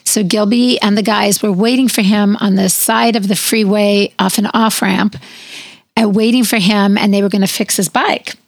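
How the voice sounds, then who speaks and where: Woman, raspy, hoarse, gravelly, dry close sound, chatty podcast co-host. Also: medium pitch, steady tone, moderate pace, no echo. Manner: chatty storyteller, fillers like "like" and "you know". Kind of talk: casual chat.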